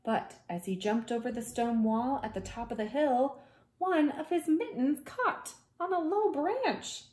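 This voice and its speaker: A woman preschool teacher reading a children's story aloud in a dramatic way, with hushed asides to young listeners. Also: high voice, unhurried, reverberant.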